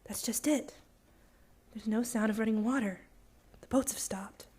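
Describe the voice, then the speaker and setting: A woman's voice: fairly high pitch, normal speed, warm tone, husky. An actress playing a worried, loyal young woman in a suspense radio play, earnest and concerned. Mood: hushed, frightened.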